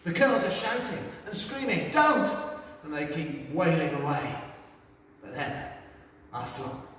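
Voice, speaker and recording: An actor playing a rowdy young football fan who swears freely and brags about street fights. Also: male voice, a little high, animated, a little slow, fairly bright tone, a little hiss or hum. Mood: shaken. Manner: profane, boastful storytelling. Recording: echoey hall from far off.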